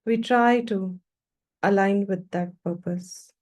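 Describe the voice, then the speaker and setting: A woman teaching guided meditation, giving slow, calm instructions with long, measured pauses. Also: mid-range voice.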